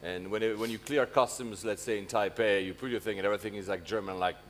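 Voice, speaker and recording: A man's voice: fairly low pitch, steady tone, fast, slight background noise. Moderator man, French first language, rambling questions, conference stage. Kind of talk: moderating a fireside chat.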